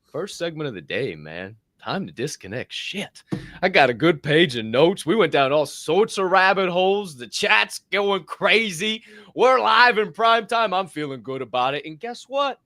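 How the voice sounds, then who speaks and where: A man from the United States co-hosting a live podcast, loud and profane, laughing often and swinging between shouting and low mumbles. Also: expressive, moderate pace, no echo. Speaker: podcast co-host. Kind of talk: casual live podcast chat.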